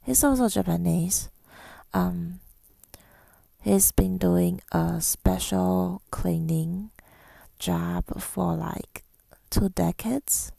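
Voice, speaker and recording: A woman telling a personal story in ASMR style, softly and breathily, often near a whisper, with a halting, reflective delivery. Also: lowish voice, gravelly, no echo. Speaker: ASMR creator.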